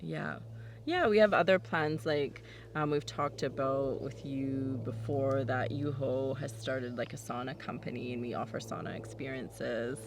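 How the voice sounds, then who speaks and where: A woman farmer with a gravelly, mid-pitched voice talks casually about her work, using lots of fillers and sometimes dropping to a whisper. She is recorded from a distance outdoors, and traffic and outdoor noise come through clearly behind her. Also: a little slow. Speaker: forest farmer. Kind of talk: casual interview. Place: outdoors on a farm. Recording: distant mic, outdoor noise with passing vehicles.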